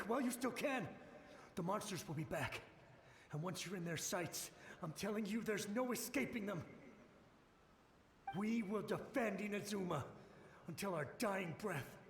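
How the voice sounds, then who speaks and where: A man's voice: high-pitched, expressive, unhurried, long pauses, reverberant, a little hiss or hum. A man playing the ghost of a panicked soldier in a video game cutscene, speaking urgently and pleading desperately. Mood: frantic.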